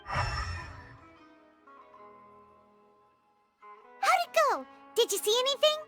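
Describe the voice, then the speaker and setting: Tiny childlike fairy companion, piercingly high squeak, bright, excitable, cutesy video game voice. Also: female voice, normal speed, heavily acted. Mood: curious. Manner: cutesy, refers to self in third person. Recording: game music under the voice.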